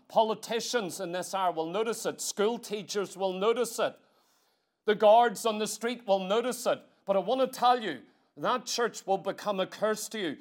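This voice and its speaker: A man preaching a church sermon in an emphatic, exhorting manner, leaning on repetition and rhetorical questions. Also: sits high.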